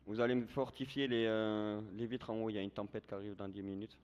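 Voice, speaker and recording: A man's voice: fairly low pitch, fairly even intonation, fast talker, a little mellow, clear, resonant tone. A man playing a police commander in improvised online role-play, giving bossy, formal orders mixed with threats. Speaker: player acting as a police commander. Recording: gaming voice chat with background noise.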